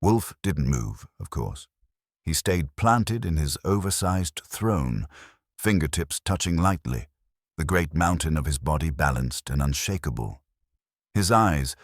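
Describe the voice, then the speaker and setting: A man's voice: a bass voice, dry recording, clean studio sound. A man narrating a scripted first-person detective story in a wry, hardboiled manner.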